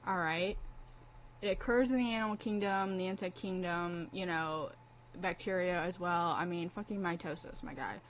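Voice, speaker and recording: A woman's voice: fairly low pitch, moderate pace, a little hiss or hum, rough recording. A young woman streaming a game in casual commentary, blunt, profane and impassioned as she talks.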